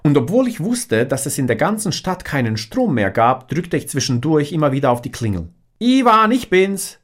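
A man, an author, reading his own first-person story as an animated audiobook narration. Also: medium pitch, a little fast, husky.

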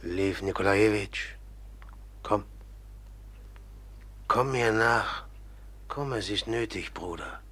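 An actor playing a brooding, menacing, intense man in a literary radio drama, speaking in a terse, halting, ominous manner. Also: lowish voice, a bit bright. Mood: secretive, brooding.

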